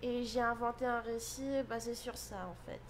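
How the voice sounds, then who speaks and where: A woman's voice: on the high side, steady tone, moderate pace. A young woman, a student guest in a radio interview, talking hesitantly and searching for her words. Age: young adult.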